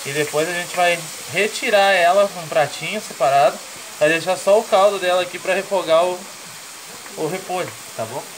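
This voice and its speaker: Southern Brazilian man chatting over loud sizzling. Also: sits high, normal speed, rough recording. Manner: colloquial, jokey, explanatory. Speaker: home cook presenting a recipe. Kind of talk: casual cooking chat. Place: home kitchen beside a sizzling pan. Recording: loud frying sizzle and stirring noise.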